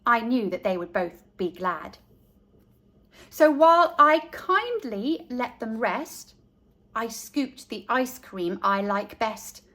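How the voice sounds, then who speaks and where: A woman's voice: a little high, animated, slow, bright, forceful tone, voice pushed down, full character voice. A woman voicing a cheeky, self-assured three-year-old girl who narrates a rhyming storybook in an innocent sing-song manner. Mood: cheerful, smug.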